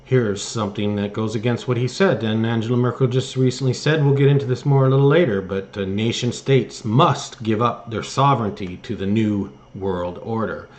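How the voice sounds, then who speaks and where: A man giving political opinion commentary as host, speaking in a halting, hesitant way with phrases that trail off. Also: fairly low pitch. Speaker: host of an online political news commentary show. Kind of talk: political opinion commentary, partly read from articles.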